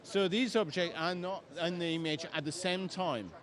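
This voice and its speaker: Man, high-pitched, emphatic, non-native phrasing, product demo. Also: steady tone, normal speed, a bit bright. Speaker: software demonstrator. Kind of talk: trade show product demo. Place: exhibition stand at trade show.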